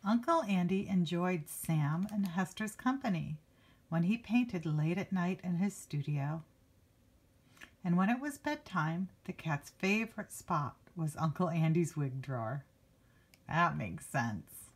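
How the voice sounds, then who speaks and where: A woman's voice: on the low side, lively intonation, measured pace, slightly rough. A woman reading a picture book aloud to children like a teacher, expressively stretching key words and adding casual asides. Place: indoors, book held before a handheld camera.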